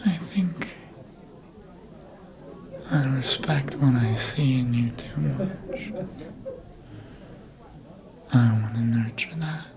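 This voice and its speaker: Casting: performer to play a calculating, seductive vampire mentor, whispered ASMR roleplay, very unhurried and intimate delivery. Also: medium pitch, creaky, crowd noise. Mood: coaxing, admiring. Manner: slow, deliberate, intimate whispering.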